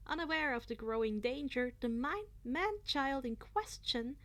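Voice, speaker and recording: A woman narrating fan fiction aloud in an expressive, playful amateur style, now and then stumbling over a word. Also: high voice, a little slow. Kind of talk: expressive amateur story reading.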